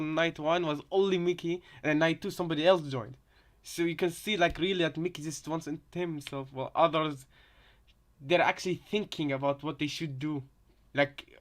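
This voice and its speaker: Rambling man chatting through game commentary. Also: on the high side. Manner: rambling, repetitive, halting. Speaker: reaction video co-host. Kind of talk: casual commentary on game voice lines.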